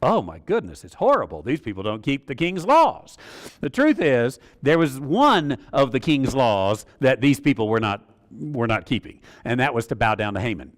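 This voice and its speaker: A man's voice: mid-range voice, sing-song, normal speed. A man teaching a Bible study in a rambling, conversational way, full of fillers and small chuckles. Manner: rambling, many uh fillers, chuckles at own asides.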